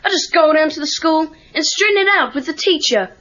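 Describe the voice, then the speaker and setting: American teenage boy, high lively voice, eager, sarcastic wisecracker, film dialogue, muffled cassette sound. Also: brisk, exaggerated voice. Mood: frustrated, sarcastic. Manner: sarcastic, animated, pleading. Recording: dull lo-fi cassette tape.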